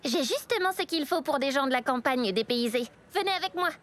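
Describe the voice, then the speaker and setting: A woman voicing a gentle, helpful country rabbit girl, friendly and reassuring, in a French-dubbed children's cartoon. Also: high-pitched, lively intonation, a little fast. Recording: loud music behind the voice. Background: music.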